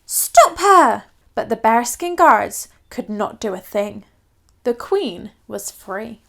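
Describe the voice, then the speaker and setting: A woman storyteller reading a children's picture book aloud in an expressive, scripted style. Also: mid-range voice, takes it slowly, gravelly.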